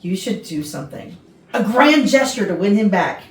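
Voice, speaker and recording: A woman's voice: mid-range voice, expressive, slight background noise, natural voice. Woman as a swooping, meddling office schemer. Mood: egging on. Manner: emphatic, theatrical drawn-out words. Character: meddling, sly female office colleague egging others on. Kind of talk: staged comedy reading. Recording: echoey rehearsal room from far off.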